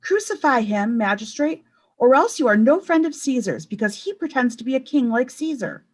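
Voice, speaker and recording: A woman doing a furious shouting high priest. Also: very animated, normal speed. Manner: shouting at full force.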